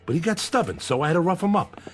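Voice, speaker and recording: An actor playing a menacing bookmaking boss in a radio crime drama, with weary sarcasm and veiled threats. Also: male voice, fairly high pitch, big pitch swings, fast talker, a little hiss or hum. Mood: aggrieved, threatening. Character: menacing, sardonic male gangland bookmaking boss. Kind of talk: old-time radio crime drama acting.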